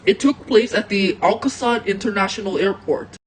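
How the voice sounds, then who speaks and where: A woman's voice: medium pitch, moderate pace, a little hiss or hum, low-quality recording. A woman announcing a made-up emergency alert in the manner of an amateur broadcast.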